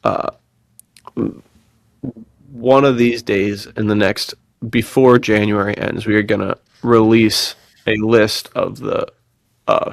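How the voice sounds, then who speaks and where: A man's voice: lowish voice, gravelly, dry recording, clean studio sound. A man hosting a podcast in a casual, laid-back, bro-ish way, using plenty of slang. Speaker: podcast host.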